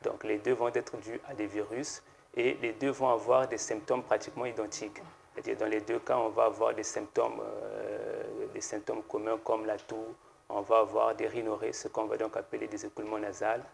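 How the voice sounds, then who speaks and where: A man, a pharmacist guest on a TV studio set, explaining slowly and hesitantly with many fillers and restarts. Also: lowish voice, level tone, creaky, slight background noise. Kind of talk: explanatory TV interview answers.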